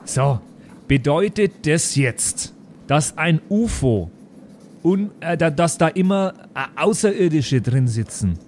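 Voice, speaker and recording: A man's voice: a little high, animated, slow, darkish tone. A man voicing a patient but muddled schoolteacher, explaining and questioning hesitantly. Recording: faint background noise.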